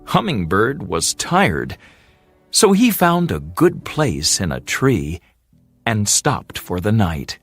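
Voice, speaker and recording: A storyteller narrating a fairy tale for English learners in a deliberate, expressive way, drawing out repeated words. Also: low voice, husky, dry recording, faint music behind.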